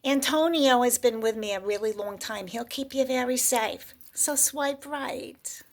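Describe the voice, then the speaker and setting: Breezy woman pitching herself for a date. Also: unhurried. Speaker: dating contestant. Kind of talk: comic dating video pitch.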